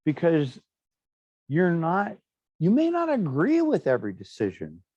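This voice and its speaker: A man's voice: a little high, huge pitch swings, unhurried, darkish tone, dry recording, dull audio. A middle-aged man teaching a class in a chatty, anecdotal way, with frequent fillers and asides. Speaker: instructor. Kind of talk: classroom lecture.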